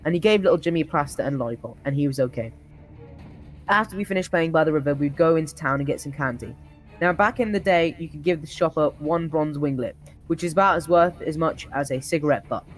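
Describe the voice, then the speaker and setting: Someone reading a silly old-timer childhood story, trips on words and giggles, faint music behind, middling pitch. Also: fast, rough recording. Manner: often breaks into laughter, stumbles over words. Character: old-timer reminiscing about an absurdly harsh childhood. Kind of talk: reading a comic tall-tale monologue.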